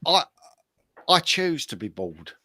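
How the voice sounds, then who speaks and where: A man's voice: on the high side, big pitch swings, slow, sharp tone, no room sound. A man co-hosting in casual chat, rambling and insistently repeating himself. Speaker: co-host.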